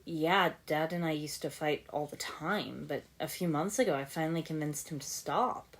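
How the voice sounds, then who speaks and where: A woman's voice: sits low, normal speed. A woman voicing an earnest young male ninja hero, casual and sincere, in a story reading. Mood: earnest, rueful.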